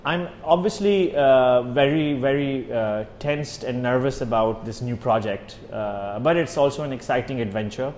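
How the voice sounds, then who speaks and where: A man in his thirties from Pakistan, an Urdu speaker, telling his own story in an interview, pausing often with fillers and restarts. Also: mid-range voice, darkish tone, a little hiss or hum. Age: 30s. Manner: hesitant, many fillers and restarts. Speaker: exiled journalist. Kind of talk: personal testimony interview. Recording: roomy with faint ambience.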